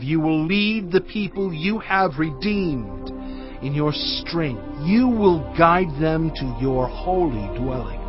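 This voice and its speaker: Moses, slow exalted praise. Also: male voice, on the high side. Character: Moses, devout male leader singing praise. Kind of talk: dramatized scripture recitation. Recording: muffled, with music underneath.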